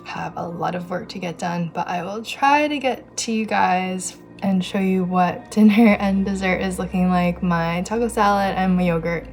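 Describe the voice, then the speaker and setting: A woman's voice: fairly low pitch, moderate pace, few pauses, darkish tone, soft background music. Chatty young woman vlogging her day. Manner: upbeat, chatty, talks to viewers directly. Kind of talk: casual day-in-the-life narration.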